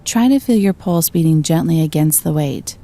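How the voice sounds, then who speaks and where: A woman gently guiding a meditation, giving slow, soothing instructions. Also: low-pitched, expressive. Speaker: meditation guide. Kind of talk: guided meditation.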